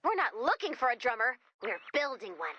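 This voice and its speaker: A woman playing a perky, excitable robot who gushes like a nerdy music superfan in a video game. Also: fairly high pitch, a little fast, husky, dry recording, dull audio, full character voice. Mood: earnest, proud. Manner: gushing, quippy, theatrical. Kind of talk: video game character dialogue.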